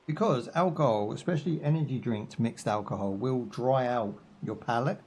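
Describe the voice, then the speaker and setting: A man's voice: medium pitch, normal speed, a little mellow, husky. A young British man chatting casually while he plays a game live, rambling through jokes, asides and fillers. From United Kingdom. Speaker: gaming streamer. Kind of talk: casual live gameplay commentary.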